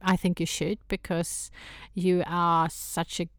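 A woman's voice: on the low side, level tone, slow pace, no echo, studio quality. A woman from Sweden with a Swedish accent, a guest in a casual interview who talks with hesitant restarts and frequent laughter. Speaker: guest, toy photographer.

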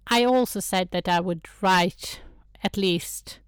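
A woman's voice: fairly low pitch, takes it slowly, clean tone, dry recording, studio quality. A woman from Sweden with a Swedish accent, a guest in a casual interview who talks with hesitant restarts and frequent laughter. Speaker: guest, toy photographer.

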